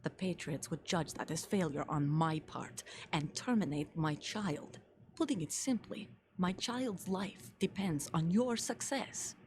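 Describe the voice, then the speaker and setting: A woman's voice: lowish voice, unhurried, slight background noise, natural voice. An actress playing a guarded female soldier and grieving mother in a video game, grave, terse and commanding. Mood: serious, explanatory. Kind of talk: dramatic video game voice acting.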